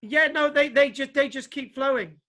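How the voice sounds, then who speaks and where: A man lecturer from Wales talking casually to his online class, halting with many restarts and fillers. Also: very high-pitched, bright, forceful tone. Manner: halting, full of restarts and ums. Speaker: archaeology lecturer. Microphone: video call. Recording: spotty video call connection.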